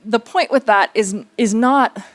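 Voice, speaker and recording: A woman speaking conversationally as an artist at a public talk, telling stories in a reflective, searching way, pausing and circling back. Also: fairly high pitch. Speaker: theater artist speaking on a panel.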